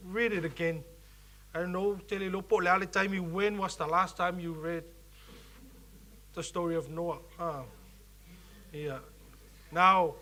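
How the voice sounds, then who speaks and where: A man with a Samoan accent, a youth leader preaching a sermon who also reads scripture, often pausing and restarting as he searches for English words. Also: high-pitched, pushed and bright. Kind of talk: bilingual sermon with scripture reading.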